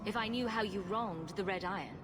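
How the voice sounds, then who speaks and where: A woman's voice: medium pitch, fairly quick, heavy background noise, lightly acted. An actress voicing a witty, sarcastic young woman rogue in a video game cutscene. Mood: cool, probing. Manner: wry, sarcastic.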